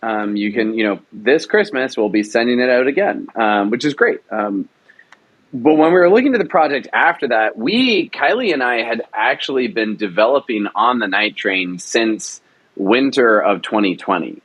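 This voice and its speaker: A man being interviewed, explaining casually in a halting way, repeating phrases as he goes. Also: mid-range voice, animated, a bit bright, no room sound, low-quality recording. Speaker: radio drama producer, interview guest.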